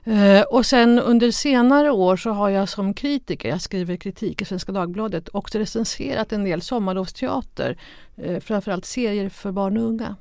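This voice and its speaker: A woman's voice: mid-range voice, a little mellow, no echo, clean studio sound. A woman chatting on a podcast as an academic co-host. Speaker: theatre professor, critic, co-host. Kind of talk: conversational podcast talk.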